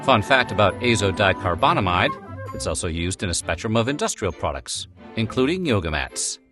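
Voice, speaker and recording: Lively wry male video narrator. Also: lowish voice, brisk. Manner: wry, jokey asides. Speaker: narrator of a food history video. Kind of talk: playful scripted narration. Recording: music bed behind the voice. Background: background music.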